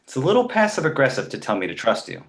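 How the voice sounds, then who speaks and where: A sarcastic baby boy played by a grown man who speaks in full adult sentences for an animated comedy read. The delivery is snarky and very lively in pitch, recorded in an echoey room. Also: quick pace, gravelly. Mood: snarky complaint. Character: precocious snarky two-month-old baby boy who talks. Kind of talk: live table read of an animated comedy script.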